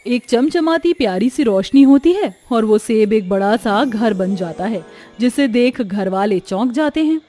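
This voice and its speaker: A woman narrating a scripted animated moral story as its storyteller. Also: on the high side, measured pace, soft background music.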